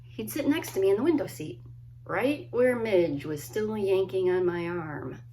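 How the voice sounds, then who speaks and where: A woman, a children's author, reading a story aloud expressively, with dramatic pauses and hushed emphasis. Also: medium pitch, lively intonation, slight background noise. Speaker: children's book author reading own work. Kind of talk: expressive storybook read-aloud, friendly introduction.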